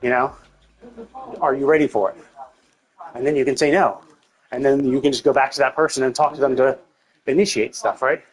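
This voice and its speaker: A man's voice: mid-range voice, fairly even intonation, a little fast, pauses often, low-quality recording. A man streaming a game, giving casual, rambling commentary as he thinks aloud and swears freely. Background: game music.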